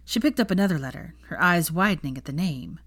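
A woman reading a story aloud as narrator, in an expressive scripted delivery. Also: on the low side, fast talker. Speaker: fan fiction narrator.

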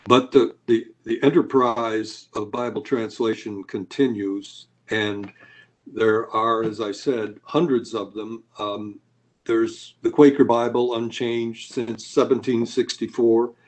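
A man's voice: mid-range voice, steady tone, takes it slowly. A man answering interview questions as a scholar, lecturing with many hesitations and uhs. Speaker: Bible scholar guest.

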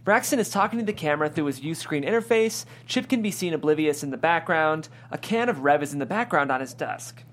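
A woman's voice: deep voice, expressive, brisk, hardly any pauses. A woman host reading out stage directions and chatting about a script.

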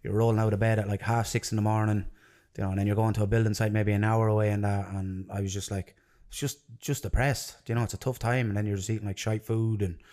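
A man's voice: on the low side, flat delivery, quick pace, dark tone. A man in his thirties with an Irish accent, chatting casually and earnestly as a podcast guest, rambling a little. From Ireland and London. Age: 30s. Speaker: podcast guest, jiu-jitsu coach. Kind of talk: casual chat.

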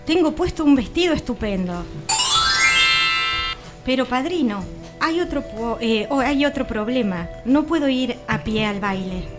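A woman's voice: fairly high pitch, expressive, measured pace. A woman playing a naive, plaintive young heroine in comic radio theatre, melodramatic and wistful, with an Argentine accent. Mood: wondering. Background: music.

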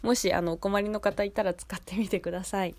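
A young woman hosts a radio show in a polite, chatty way. Her voice sits at a middling pitch and sounds slightly nasal, as if stuffed up. The sound is close and dry, with very little room in it. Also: steady tone, fairly quick, dry recording. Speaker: radio host. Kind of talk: casual local radio show talk. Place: radio studio.

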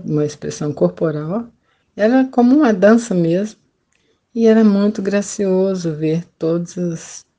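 Older Brazilian woman, low mellow voice. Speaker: retired missionary, podcast guest. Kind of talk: personal testimony, conversational storytelling.

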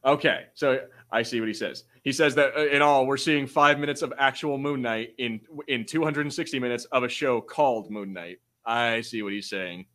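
A man with a mid-pitched voice chats as a podcast co-host. He is informal and a bit halting, loose with swearing, and sounds like he is talking off the cuff. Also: fairly bright tone. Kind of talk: casual chat, reads out viewer comments.

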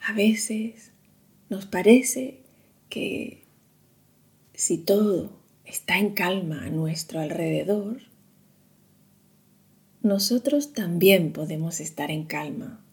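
A woman guiding a meditation in a soothing, hushed manner, leaving long pauses between short phrases. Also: lowish voice. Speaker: meditation guide. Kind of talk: slow guided meditation narration.

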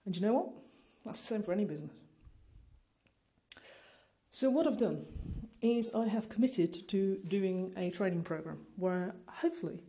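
Mellow-voiced middle-aged podcaster, earnest and emphatic. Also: medium pitch, normal speed. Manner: earnest, emphatic, addressing the listener directly. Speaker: podcast host and online marketing business owner. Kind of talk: informal solo podcast monologue.

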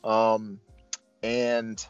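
Low-voiced man casually reviewing a movie. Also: little pitch movement, drawn-out words, clear, resonant tone, no echo, highs cut off, soft background music. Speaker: film review host.

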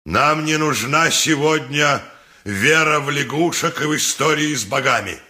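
An actor playing a solemn, righteous high priest who declaims like a preacher in a radio play. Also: male voice, unhurried, bright, forceful tone. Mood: fervent, righteous. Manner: rhetorical, declamatory sermonizing. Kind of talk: 1980s Soviet radio play acting.

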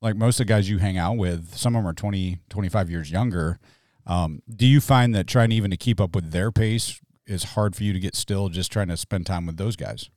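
A man's voice: sits low, brisk, a little mellow, no echo, studio quality. A man in his forties, a pastor hosting a podcast, chatty and joking in casual conversation. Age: 40s. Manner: chatty, joking, full of fillers.

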